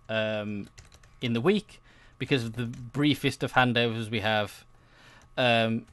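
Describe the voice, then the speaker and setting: A man's voice: mid-range voice, fairly bright tone, dry recording. A young adult man giving casual, meandering gameplay commentary, often hesitating and interrupting himself. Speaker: gaming video host.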